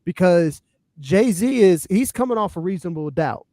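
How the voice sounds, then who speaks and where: A man's voice: sits high, animated, moderate pace, dark tone, dry recording, clean studio sound. A man co-hosting a podcast, casually debating hip-hop in an emphatic, explanatory way and checking in with short tag questions. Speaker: podcast co-host.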